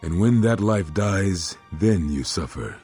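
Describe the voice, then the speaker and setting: An actor playing a brooding, grave Jedi master in a video game, with a weighty, ominous delivery. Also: male voice, low-pitched, animated, a little mellow, husky, faint music behind, exaggerated voice. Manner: slow-burning, ominous, weighty. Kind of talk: dramatic video game dialogue.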